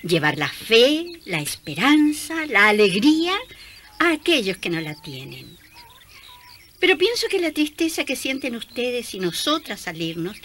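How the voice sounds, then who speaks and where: A woman playing a gentle, cheerful young missionary nun in a radio drama, affectionate and giggly. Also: a little high, slight background noise. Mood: cheerful, devout.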